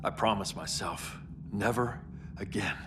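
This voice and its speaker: A man's voice: lowish voice, a little slow, over music, lightly acted. A man playing a stern, grieving, authoritarian alien superhero in a video game cutscene. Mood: grave. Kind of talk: cinematic video game acting. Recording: loud cinematic score behind dialogue.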